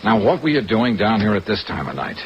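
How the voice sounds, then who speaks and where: A man's voice: lowish voice, big pitch swings, a little fast. An American man acting a tough, world-weary, sardonic insurance investigator with clipped delivery in a 1950s radio drama. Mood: sardonic, probing. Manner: clipped, hard-boiled delivery. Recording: dull old radio recording, faint noise. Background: rain sounds.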